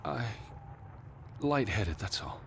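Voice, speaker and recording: An actor playing a weary, anxious, bookish gentleman with a formal, precise manner in a 1920s period drama game. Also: mid-range voice, lively intonation, slow pace, creaky, background noise. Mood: faint. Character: weary, anxious, bookish middle-aged gentleman searching for his sister.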